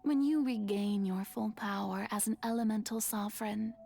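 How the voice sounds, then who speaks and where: A woman playing a graceful, solemn goddess in a video game, with measured, theatrical and earnest delivery. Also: medium pitch, creaky, mellow, faint music behind. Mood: grave, hushed. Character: graceful, solemn female god calmly facing her own execution. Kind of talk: scripted dramatic game voice acting.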